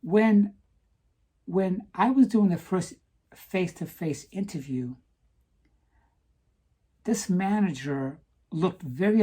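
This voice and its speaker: A woman talking earnestly to camera in a personal vlog, stopping to ask "okay?" for emphasis, with some halting restarts. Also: deep voice, dark tone, slightly rough. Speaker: vlogger.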